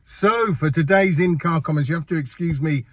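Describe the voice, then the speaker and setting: A man's voice: on the high side, normal speed. An older man hosting a gaming channel, talking through a casual review while under the weather with a cold, chatty and rambling. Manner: chatty and rambling, with fillers and repeated words. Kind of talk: casual first-impressions game review.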